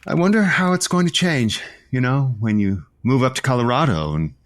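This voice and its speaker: A man playing a falsely contrite rival in an audio comedy, talking smoothly with sly, pointed insinuation. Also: mid-range voice, expressive, moderate pace, natural voice. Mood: sly, insinuating. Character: Avery Vestibule, slyly needling male rival, falsely contrite. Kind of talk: audio comedy drama dialogue.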